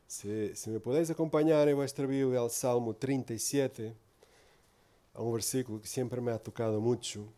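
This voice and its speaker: A man's voice: mid-range voice, steady tone, normal speed, mellow. A man from Portugal preaching in Spanish with a Portuguese accent, giving an earnest, informal sermon full of tag questions.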